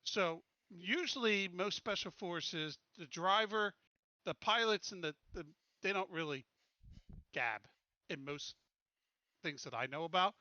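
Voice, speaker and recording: Male gamer, very sharp bright voice, slightly high, informal thinking-aloud delivery, dry dull-edged recording. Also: unhurried, dry recording. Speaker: tabletop game player. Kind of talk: casual chat, planning game moves.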